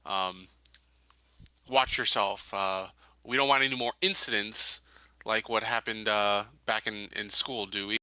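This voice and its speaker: Man, tabletop player, lower pitch, casual halting questioning, very crisp forceful edge, dry recording. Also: steady tone, dry recording. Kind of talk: casual in-game roleplay as a sheriff.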